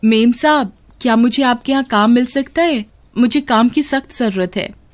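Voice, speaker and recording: A woman's voice: on the high side, moderate pace, pitched above the performer's own voice, close to an everyday voice. A woman as a worried, humble poor mother. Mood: pleading. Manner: humble, beseeching. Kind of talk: moral story character reading.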